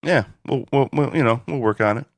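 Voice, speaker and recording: A man co-hosting a casual podcast, dry and deadpan, reading listener reviews with a chuckle. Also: mid-range voice, fairly quick, no room sound. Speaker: podcast co-host.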